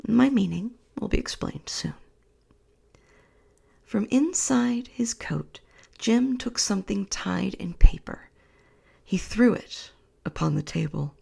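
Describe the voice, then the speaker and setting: A woman reads a bedtime story in a breathy whisper, very gravelly and husky. Her delivery is slow and soothing, meant to lull a listener to sleep. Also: medium pitch, expressive, darkish tone.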